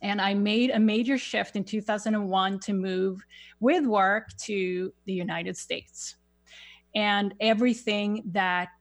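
A woman from Sweden with a Swedish accent, a guest on a radio show as a spiritual life coach, speaking haltingly and searching for words. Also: medium pitch, steady tone. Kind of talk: radio interview.

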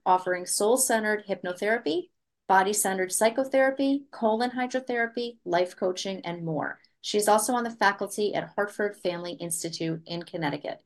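A woman hosting a talk radio show, reading a guest introduction and asking questions in an upbeat, emphatic manner. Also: mid-range voice. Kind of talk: scripted radio introduction and interview.

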